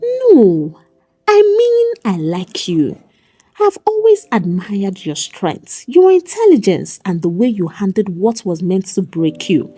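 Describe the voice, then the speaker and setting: Woman doing a playful young man wooing someone in a story, husky, super dramatic. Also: medium pitch, slow pace, heavily acted. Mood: earnest, smitten. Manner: dramatic, flattering. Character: playful, smitten young male suitor.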